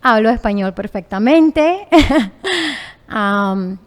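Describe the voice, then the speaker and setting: A woman with a Trinidadian accent speaks as an interview guest, candid and expressive. Her answers come in open, personal bursts, with frequent laughter. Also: mid-range voice, slow pace. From Trinidad and Venezuela. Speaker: entrepreneur guest.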